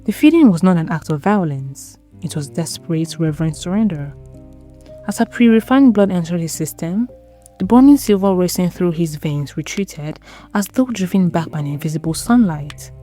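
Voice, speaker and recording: A woman with a lowish, very mellow voice narrates gothic romance fiction. Her delivery is measured and solemn, set over a light music bed. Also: lively intonation. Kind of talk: dramatic scripted story narration.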